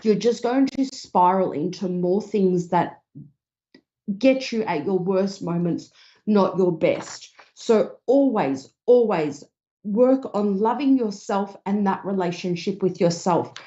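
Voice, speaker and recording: A woman hosting a psychic advice show, a little hoarse and talking earnestly with many fillers. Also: mid-range voice, slow, mellow. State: slightly hoarse, losing her voice. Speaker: psychic radio host. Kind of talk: casual advice talk. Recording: dull lo-fi stream.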